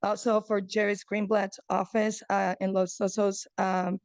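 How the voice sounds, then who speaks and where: A woman in her forties, originally from Chile, speaks at a breakfast meeting, reading haltingly from her phone. Her mid-range voice has a gravelly edge, and she fills the gaps with ums and uhs. The sound is dry and close. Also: mid-range voice, level tone, no room sound. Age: 40s. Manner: hesitant, many ums and uhs, reading from notes. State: nervous. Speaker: gallery owner giving a talk. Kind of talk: nervous public speech read from a phone.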